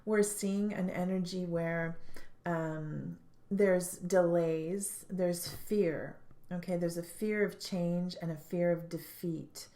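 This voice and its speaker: A woman giving a tarot reading, sounding stuffed up, speaking thoughtfully with frequent pauses and restarts. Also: on the low side, steady tone, dark tone, roomy sound. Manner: thoughtful, hesitant, many fillers and restarts. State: stuffed up, congested. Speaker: tarot reader.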